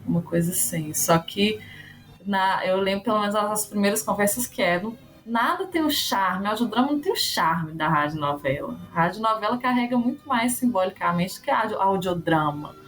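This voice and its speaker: Animated woman musing about her work. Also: mid-range voice, a little fast, soft background music. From Brazil. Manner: animated, musing, fond of rhetorical questions. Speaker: actress and educator, guest. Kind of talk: casual interview talk.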